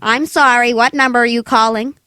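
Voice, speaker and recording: A man voicing a polite but unhelpful female telephone operator in an old radio play, formal and measured. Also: very high voice, fairly even intonation, bright, forceful tone, clean tone, dry recording, studio quality, full character voice. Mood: polite detached. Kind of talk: 1940s radio play acting.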